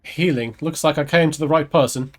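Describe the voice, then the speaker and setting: A man voicing a troubled adventurer in a video game, speaking formally in a measured, earnest way. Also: a little high, faint music behind, lightly acted. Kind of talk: dramatic read of game dialogue.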